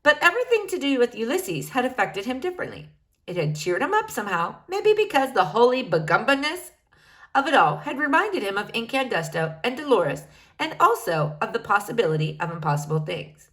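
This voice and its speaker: A woman's voice: on the high side, sing-song, brisk, a bit bright. A woman reading a children's novel aloud, shifting her voice for each character as she goes. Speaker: reader.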